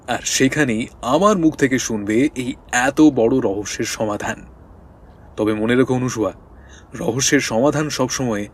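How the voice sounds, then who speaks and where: An actor playing a shrewd, composed detective in an audio drama, speaking deliberately and formally as he explains. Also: medium pitch, slightly rough, close to an everyday voice. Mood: teasing, pleased, then grave warning. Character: shrewd, composed male detective unravelling a murder, husband. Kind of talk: Bengali audio drama acting.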